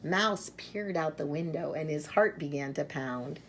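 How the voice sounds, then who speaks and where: A woman reads a children's storybook aloud at a very slow pace, in a slightly low voice with a creaky edge. She is expressive, leaning on key words and pausing for young listeners. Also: slight background noise. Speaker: storybook reader.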